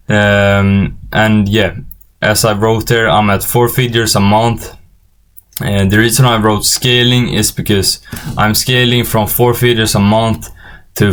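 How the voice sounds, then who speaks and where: Teenage male tutorial presenter, native Swedish speaker with Swedish accent, lowish pitch, informal and hesitant delivery. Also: a bit bright, clear, resonant tone. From Sweden. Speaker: online business course instructor.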